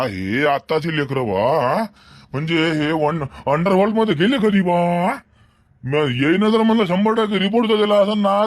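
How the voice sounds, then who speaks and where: A man's voice: sits high, no room sound, clean studio sound. Boastful village gangster boss acted by a man. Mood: smug. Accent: rural Maharashtra, Varhadi Marathi. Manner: bragging, cackling, refers to himself grandly. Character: boastful swaggering village gangster boss, self-styled underworld don. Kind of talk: comic cartoon dialogue.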